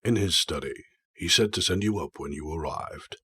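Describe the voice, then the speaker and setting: A man playing a brisk, bookish young student in an audio drama, clipped and dry with a husky voice. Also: sits low, a bit bright, heavily acted. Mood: brisk, distracted.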